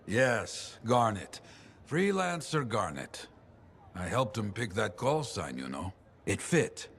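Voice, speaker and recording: An actor playing a wistful, courteous male storyteller in a video game, reflective and gently philosophical. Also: lowish voice, heavily acted. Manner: expressive, reflective, measured. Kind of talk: video game dialogue acting. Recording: clear ambient noise behind the voice.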